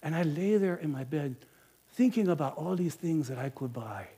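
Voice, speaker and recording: A man performing a greedy, self-justifying innkeeper in a first-person monologue, swinging between loud bluster and hushed confession. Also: fairly high pitch, expressive, normal speed, breathy edge. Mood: guilty. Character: greedy self-justifying male Bethlehem innkeeper, middle-aged. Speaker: storyteller performing a character monologue.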